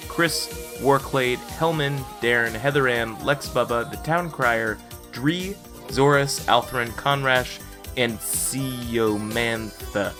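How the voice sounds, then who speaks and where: A man hosting a podcast in a casual, conversational style. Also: mid-range voice. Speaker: podcast host.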